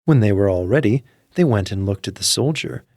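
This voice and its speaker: A man narrating a classic fairy tale from a script in a measured storyteller's manner. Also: fairly low pitch, animated, a little mellow, a little hiss or hum.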